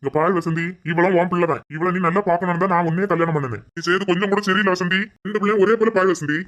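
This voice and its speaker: A mid-pitched voice speaks very quickly as a gentle father, played by a performer in a home-made skit. he soothes and reasons in speech, rushing through each plea without pause. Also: steady tone, highs cut off, lightly acted. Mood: reproaching. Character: gentle caring father, adult man.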